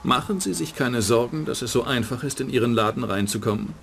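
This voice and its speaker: An actor playing a cynical, hard-boiled private detective in a dubbed game, with a dry, sardonic delivery. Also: male voice, medium pitch, lively intonation, fairly quick, vocal fry, fairly bright tone, slight background noise. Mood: guarded, probing. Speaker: narrating game protagonist.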